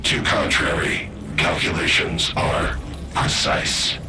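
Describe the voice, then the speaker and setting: An actor playing a cold, menacing rogue artificial intelligence in a video game, speaking in formal, clipped phrases over battle noise. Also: male voice, low-pitched, completely flat delivery, takes it slowly. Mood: cold menace. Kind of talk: video game villain acting. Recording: noisy game battle sound.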